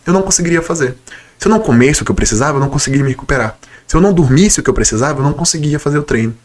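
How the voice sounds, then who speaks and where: Man, young, Brazilian Portuguese accent, quick speech, slightly nasal from a cold, casual vlog chat. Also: mid-range voice. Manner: conversational, enthusiastic, direct address to viewers. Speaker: nutritionist vlogger. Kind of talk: casual talking-to-camera vlog.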